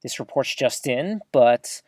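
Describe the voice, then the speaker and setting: Chatty, animated small-town radio host , acted. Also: mid-range voice, takes it slowly, dry recording. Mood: upbeat, then concerned. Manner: folksy, chatty, expressive, addresses listeners directly. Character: chatty, earnest novice small-town community radio host, eager and flustered. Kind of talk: scripted audio drama as local radio broadcast.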